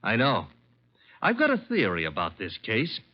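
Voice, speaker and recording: A man playing a brisk, wisecracking detective's assistant in an old-time radio drama, with a wry, needling manner. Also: lowish voice, huge pitch swings. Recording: dull vintage radio recording.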